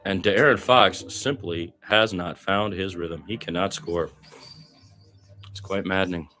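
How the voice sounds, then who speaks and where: A man with a low voice works as a basketball play-by-play commentator over music. He calls the game off the cuff and adds his own opinionated, reflective commentary. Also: unhurried, sharp tone.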